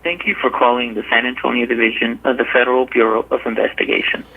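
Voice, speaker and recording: A man's voice: level tone, fast, vocal fry, husky. A man reading a scripted automated phone menu greeting over a telephone line. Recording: tinny low-fidelity telephone line.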